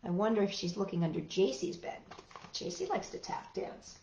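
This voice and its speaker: Female, children's read-aloud, very animated intonation, chatty asides, exclaiming, dull lo-fi recording. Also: medium pitch, normal speed. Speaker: children's storytime host. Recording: roomy, dull lo-fi sound, faint music.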